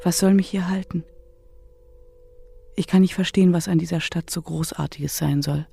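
An actress playing a reluctant, uneasy widow in a German radio play, guarded, questioning and insistent in manner. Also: female voice, lowish voice, steady tone, moderate pace, gravelly, mellow, soft background music.

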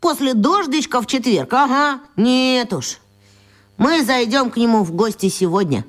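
A woman playing a brisk, stubborn schoolboy hero in a radio play. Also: a little high, lively intonation, natural voice. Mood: firm, stubborn.

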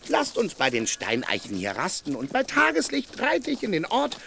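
Deferential squire, lightly high voice, very lively intonation, wordy, pleading, cautious, radio drama. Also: male voice, normal speed, creaky, exaggerated voice. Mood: coaxing. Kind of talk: German radio play acting.

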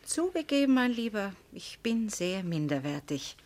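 An actress playing a proud, spirited young fiancée in a radio drama, tart and emotional. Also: female voice, mid-range voice, big pitch swings, normal speed, a little mellow, lightly acted. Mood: sarcastic. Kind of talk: German radio drama acting.

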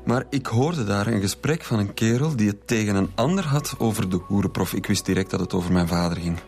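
A defensive young Flemish man explains himself haltingly in a radio drama. His voice is very low and gravelly, with faint music underneath. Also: lively intonation, a little mellow. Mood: explaining uneasily. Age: young adult. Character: defensive, troubled student son under police questioning. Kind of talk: naturalistic radio drama dialogue.

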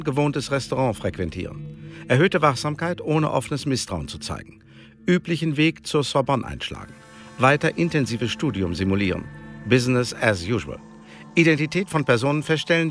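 An actor playing a terse spymaster who issues clipped, commanding instructions in telegram style for a radio drama. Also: male voice, fairly high pitch. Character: terse male spymaster issuing coded instructions.

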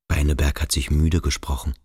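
An actor playing a brooding boarding-school pupil in a literary radio play, thinking aloud in a measured, introspective way as he coolly scrutinizes his companion. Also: a bass voice, flat delivery, a little mellow, husky, no room sound, exaggerated voice. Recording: studio radio play with music behind.

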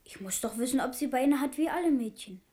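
A woman's voice: high voice, animated, a little fast, warm tone. A performer, heard as female, playing a bold, cheeky, forthright young prince in a German radio drama. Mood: boyish, curious.

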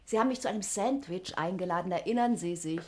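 An actress playing a detective's wry, unflappable secretary in a 1960s German radio crime drama. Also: female voice, on the low side, fast, close to an everyday voice. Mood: teasing. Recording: very noisy 1960s radio recording.

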